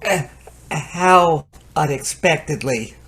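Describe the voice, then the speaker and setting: A man's voice: on the high side, expressive, slow pace, fairly bright tone, slight background noise, heavily acted. A man playing a flustered, henpecked repairman who stammers nervously in an old-time radio comedy.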